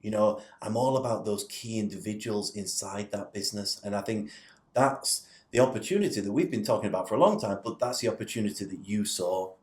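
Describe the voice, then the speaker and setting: A man's voice: lowish voice, a little fast. A man speaking as a podcast guest, explaining things conversationally and posing rhetorical questions. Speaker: podcast guest, digital influence facilitator. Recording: roomy, echoing indoor sound.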